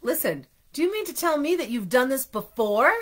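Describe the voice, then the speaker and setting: A woman plays a naive, chatty young donut from a children's storybook. He is theatrical and easily affronted, speaking in a high, very animated voice full of exclamation. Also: normal speed. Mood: indignant, shocked.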